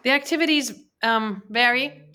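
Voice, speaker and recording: A woman's voice: fairly high pitch, moderate pace, pushed and bright, clear, resonant tone. A woman whose first language is Persian, answering interview questions earnestly, with many fillers and restarts. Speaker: interviewee, women's peace advocate.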